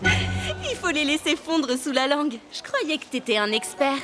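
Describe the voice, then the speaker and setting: Young girl guide: high, cheerful, knowledgeable, explanatory cartoon read with music behind. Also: very animated, unhurried. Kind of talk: animated cartoon dub.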